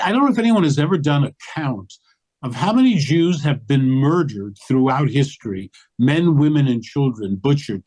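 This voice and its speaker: A man's voice: medium pitch, darkish tone. Older man, passionate interview guest. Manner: passionate, emphatic. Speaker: guest, activist. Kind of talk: interview conversation.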